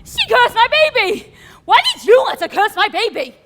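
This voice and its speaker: A woman's voice: very high voice, very animated, moderate pace. A woman playing an anxious, flustered queen in a pantomime, overwrought and melodramatic. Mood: distraught. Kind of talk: broad pantomime stage acting. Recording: echoey hall, noisy live stage recording.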